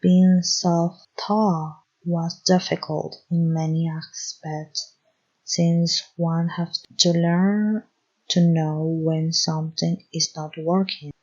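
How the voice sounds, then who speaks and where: Low, husky woman. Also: level tone, darkish tone, dull audio. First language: Spanish. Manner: unhurried, searching for words in her second language. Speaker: podcast host. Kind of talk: solo podcast monologue.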